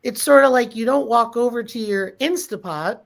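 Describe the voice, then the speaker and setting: A woman's voice: a little high, moderate pace, gravelly. A snuffly woman hosting a casual livestream, greeting viewers by name and giving practical homestead advice. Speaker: host.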